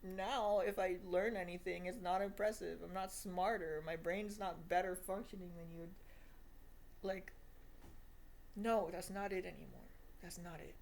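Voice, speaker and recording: A woman's voice: lowish voice, fairly even intonation, unhurried, gravelly, a little mellow, a little hiss or hum. A woman of twenty giving a confessional video diary monologue in an emphatic, repetitive, self-deprecating way. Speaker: vlogger.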